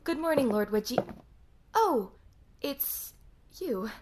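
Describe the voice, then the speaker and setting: A woman's voice: high-pitched, animated, takes it slowly. A woman playing a sly, teasing character in a roleplay, mocking and playful. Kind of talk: roleplay acting. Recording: loud background noise, clicks.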